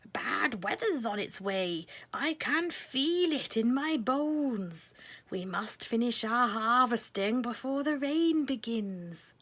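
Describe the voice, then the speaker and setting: A woman's voice: a little high, expressive, takes it slowly, fairly bright tone, voice pushed up, exaggerated voice. A woman voicing an anxious, urgent old lady mouse. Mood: foreboding.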